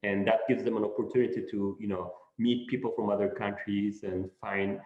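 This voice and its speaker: A middle-aged man from Turkey, a professor answering interview questions in an unhurried way, with many "you know" fillers. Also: fairly low pitch, fairly even intonation, a little mellow. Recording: echoey room, dull lo-fi sound.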